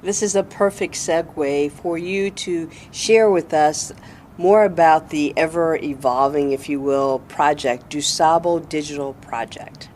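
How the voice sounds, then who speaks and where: An American woman hosting an interview show with deliberate, formal phrasing. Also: deep voice, a little mellow, slight background noise. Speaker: talk show host, interviewer.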